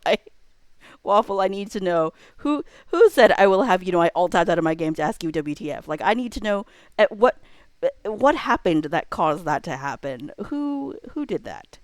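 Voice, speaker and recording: A woman's voice: mid-range voice, sing-song, no echo. A woman livestreamer chatting casually with her viewers, rambling and thinking aloud in a playful way. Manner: playful, quick to laugh. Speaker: livestreamer and host reading chat.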